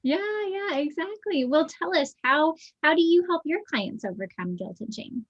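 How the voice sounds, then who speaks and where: A woman's voice: high-pitched, expressive, moderate pace, lo-fi sound. A woman hosting an interview podcast as a business coach, enthusiastic and upbeat.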